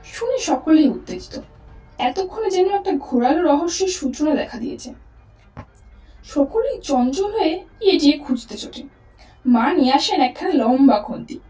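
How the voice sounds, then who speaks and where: A woman narrator tells a suspense tale theatrically in a high voice. The sound is echoing and set back from the mic. Faint music runs behind her. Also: dark tone, far from the mic. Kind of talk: dramatised story narration.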